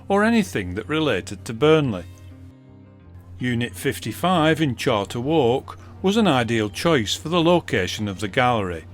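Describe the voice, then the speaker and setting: A man reading scripted documentary narration for a local newsreel. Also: mid-range voice. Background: music with guitar.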